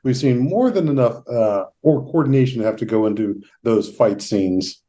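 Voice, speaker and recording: A man hosting an interview podcast in a conversational way, with halting, roundabout phrasing. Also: medium pitch, dark tone. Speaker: podcast host, therapist.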